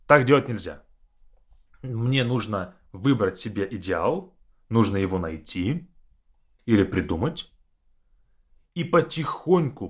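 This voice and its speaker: A man speaking in a reflective, conversational way, as a teacher sharing his views. His mid-pitched voice moves a lot, climbing and dipping with emphasis, and he takes his time. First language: Russian. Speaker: Russian teacher and podcast host. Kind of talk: casual reflective monologue.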